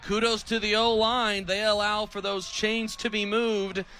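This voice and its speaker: Bright, high-pitched young American play-by-play man. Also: little pitch movement, a little slow, a little hiss or hum. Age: 20s. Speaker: radio play-by-play announcer. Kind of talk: live American football radio commentary.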